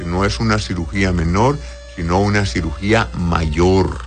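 Very deep-voiced man giving medical advice. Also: fairly even intonation, normal speed, faint music behind. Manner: avuncular, uses endearments and frequent checking tags. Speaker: doctor hosting a call-in advice show, answering listener messages. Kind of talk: conversational medical advice, reading messages aloud.

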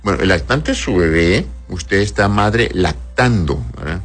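A man's voice: low-pitched, lively intonation, unhurried, faint music behind. A male doctor answering listeners' health questions in a patient, explanatory way, often checking "right?" and calling patients "my love".

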